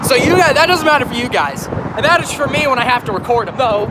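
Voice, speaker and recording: A man's voice: very high-pitched, expressive, fast talker. A man recovering from a cold, livestreaming by phone from a bridge, chatting to viewers and often restarting and correcting himself. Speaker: railfan livestreamer. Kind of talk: casual livestream chat. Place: outdoors on a bridge by a busy highway. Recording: loud traffic and wind noise outdoors. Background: heavy traffic and wind.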